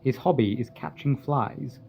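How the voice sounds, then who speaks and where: A grave, lovesick doctor delivers a radio drama monologue. His mid-pitched voice is gravelly and creaky. The recording is slightly muffled with faint noise. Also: measured pace. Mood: studious. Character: brooding lovesick male asylum doctor, studious and grave. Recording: dull sound with faint noise.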